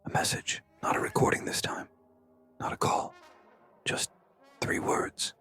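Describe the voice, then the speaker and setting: A narrator telling a scary story in a breathy, unvoiced whisper, hushed and suspenseful, with pauses. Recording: music behind. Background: background music.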